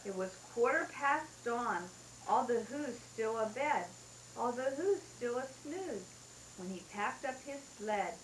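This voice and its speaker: A woman's voice: on the high side, roomy sound, slight background noise, low-quality recording. A mother reading a rhyming bedtime story aloud in a sing-song way, taped at home.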